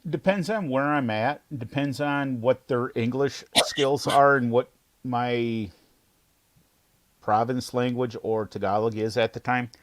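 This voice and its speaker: Chatty American man, mid-pitched. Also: unhurried. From United States. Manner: chatty, frank storytelling with bits of Filipino words. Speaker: podcast co-host. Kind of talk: casual chat.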